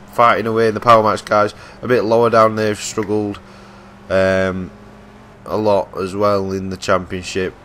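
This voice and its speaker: A man giving chatty live commentary on a racing game, his voice croaky and hoarse as he loses it, often restarting his sentences. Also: medium pitch, fairly bright tone, slight background noise.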